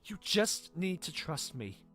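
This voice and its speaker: Very low, stern scheming pony voiced by a woman. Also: big pitch swings, slow pace, soft background music. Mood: insistent, stern. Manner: controlled, insistent. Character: stern, smooth, scheming cartoon pony in authority.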